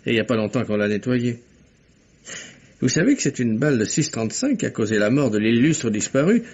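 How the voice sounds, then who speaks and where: A curious detective, dull old recording. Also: male voice, medium pitch, expressive, brisk, a little mellow, slightly rough. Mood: inquisitive. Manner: musing, chatty, punctuated with hums and repeated words. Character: curious, genial, inquisitive male amateur detective. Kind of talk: mid-century French radio mystery acting.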